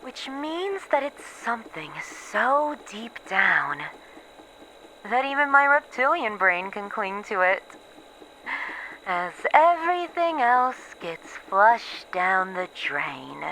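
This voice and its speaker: A weary, bitter female researcher played by a woman records a lonely log in a horror roleplay. She talks slowly and haltingly, with sighs and sardonic swearing. The voice is bright and mid-pitched, over faint background noise. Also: sing-song, exaggerated voice, poor audio. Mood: melancholy, musing. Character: bitter, weary female researcher losing her memories, hiding alone. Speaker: voice actor in an audio roleplay. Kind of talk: ASMR horror roleplay monologue, acted audio log.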